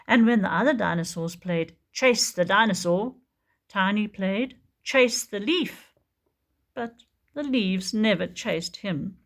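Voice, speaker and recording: Woman reading a picture book slowly. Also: medium pitch. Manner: slow, expressive storytelling. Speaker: preschool teacher. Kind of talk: picture-book read-aloud.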